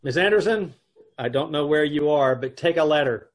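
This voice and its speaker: Guy playing raspy wry put-upon husband in old radio comedy, kinda high voice, middle-aged. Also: lively intonation, moderate pace. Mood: sardonic. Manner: wry, stammering. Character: dry, wisecracking, put-upon advertising man husband. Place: indoors at home on a video call.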